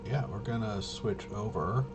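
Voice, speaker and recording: A man casually talking himself through a tabletop game walkthrough, halting and unscripted, muttering as he thinks. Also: lowish voice, background noise. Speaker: host of a tabletop roleplaying video.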